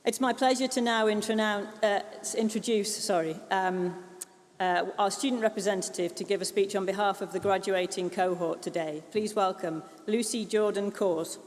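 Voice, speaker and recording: A woman presenting a graduation ceremony, reading out graduates' names one by one in a steady, measured way. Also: mid-range voice. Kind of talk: formal announcing of names. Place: large graduation hall. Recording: long hall reverb with loud crowd. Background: loud applause and crowd.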